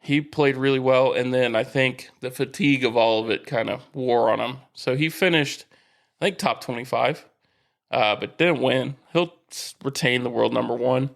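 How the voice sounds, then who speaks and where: A man's voice: mid-range voice, moderate pace, vocal fry. A man co-hosting a sports podcast, telling stories in casual, colloquial, laid-back chat.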